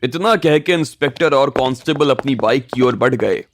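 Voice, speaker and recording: A man's voice: mid-range voice, moderate pace, a little hiss or hum. A man narrating a scripted audio story in a dramatic storytelling style. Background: faint music.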